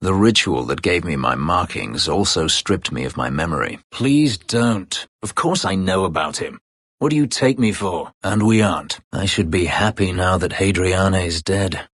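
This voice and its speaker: A man voicing a brooding, bitter escaped elf slave in a fantasy video game, husky and guarded, with terse, formal, dry phrasing. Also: expressive, normal speed, fairly bright tone, no room sound, full character voice. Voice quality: husky, gravelly low voice.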